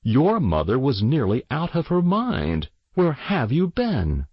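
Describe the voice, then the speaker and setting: A man acts out a storybook father, firm but gentle. He talks in a measured, soothing way, unhurried and a little husky. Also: low voice, sing-song, no echo, dull audio, exaggerated voice. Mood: stern.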